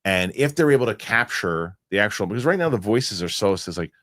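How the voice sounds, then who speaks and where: A man hosting a casual podcast chat, animated and rambling, often restarting his sentences. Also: lowish voice, a little fast, no room sound, studio quality. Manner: animated, rambling, self-correcting with repeated starts. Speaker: show host.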